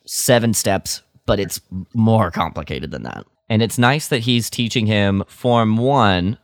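A man's voice: lowish voice, animated, a little slow, no echo, clean studio sound. A man co-hosting a podcast, chatting casually with a wry, joking streak. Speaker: podcast co-host. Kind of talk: casual chat about Star Wars lore.

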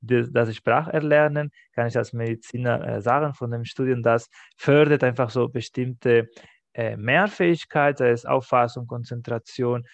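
Guy answering questions after a talk, mid-pitched, slow and thoughtful, flat dry sound. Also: vocal fry, dry recording, highs cut off. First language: Catalan. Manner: measured, hesitant, thinking aloud. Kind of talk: academic talk and Q&A.

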